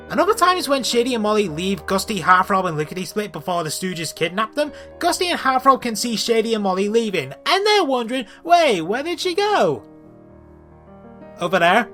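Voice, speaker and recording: A man's voice: high voice, a bit bright. A man hosting a scripted comic review, with an exasperated, sarcastic way of talking. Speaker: video reviewer and host.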